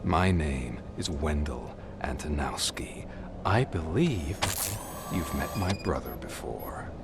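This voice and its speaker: An actor playing a calm, menacing crime boss in a video game, speaking slowly and condescendingly over noise. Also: male voice, a bass voice, gravelly, heavily acted. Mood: calm menace. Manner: slow, deliberate, condescending. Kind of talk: video game cutscene acting.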